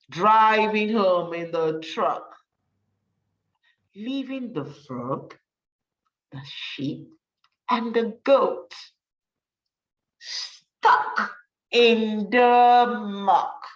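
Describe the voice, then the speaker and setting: Lady teacher doing storytime, really lively pitch, takes it slow, encouraging, mic kinda far off. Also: muffled recording. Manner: encouraging, praising, addressing children directly; deliberate, emphatic read-aloud delivery. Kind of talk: animated children's story reading with rhyming lesson.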